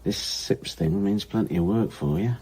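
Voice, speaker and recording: An actor playing a protective male partner at home in a radio drama, casual and gently joking. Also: low-pitched, moderate pace, breathy edge. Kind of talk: naturalistic radio drama acting.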